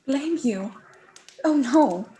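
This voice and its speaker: A gentle, kind-hearted elder sister, played by a woman in a radio play. She speaks softly and earnestly. Her voice sits high. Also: animated, extremely slow, exaggerated voice. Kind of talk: live-read radio play.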